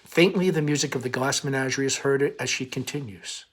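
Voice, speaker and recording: A man's voice: mid-range voice, a little fast. A man reading a play's stage directions aloud in a plain, subdued way, with occasional stumbles. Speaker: principal reading a play aloud.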